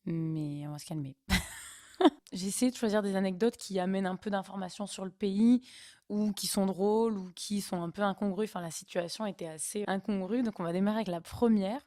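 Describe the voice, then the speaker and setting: A woman's voice: mid-range voice, moderate pace, mellow, no echo, clean studio sound. A young woman from northern France, casually telling travel stories with chatty, laughing asides. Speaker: vlogger. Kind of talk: casual storytelling.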